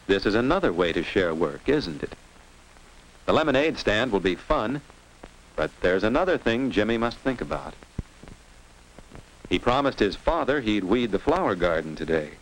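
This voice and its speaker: A man's voice: fairly low pitch, very animated, normal speed, long pauses. An American man narrating a 1950s instructional film for children in a scripted, didactic manner, posing simple questions and answers. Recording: noisy vintage 1950s film soundtrack with clicks.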